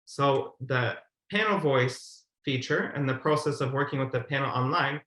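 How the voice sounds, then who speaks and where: A man's voice: medium pitch, moderate pace, pushed and bright. A man giving a formal, methodical explanatory presentation as a webinar presenter over a video call. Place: indoor room, on a video call. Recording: roomy, echoey webinar audio.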